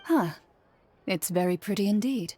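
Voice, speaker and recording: An actress playing a composed, dutiful young woman in an anime game, speaking in a formal, measured, reserved way. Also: mid-range voice, lively intonation, heavy background noise, close to an everyday voice.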